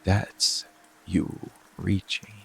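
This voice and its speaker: A very slow, deeply creaky, breathy murmur from a man playing a dark monster lover, possessive yet protective, in intimate ASMR roleplay. He lingers between short phrases, often sinking into a whisper. Music and rain play clearly behind him. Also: flat delivery, full character voice. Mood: seductive, intense. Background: soft music and rainfall.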